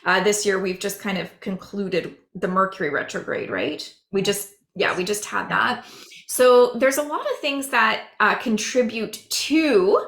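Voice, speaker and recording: A woman psychic medium chatting on a podcast with a hoarse, raspy voice, explaining earnestly at length. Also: medium pitch, a bit bright, reverberant. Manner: rambling, earnest, drawn-out explaining. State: hoarse, losing her voice. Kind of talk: casual podcast chat.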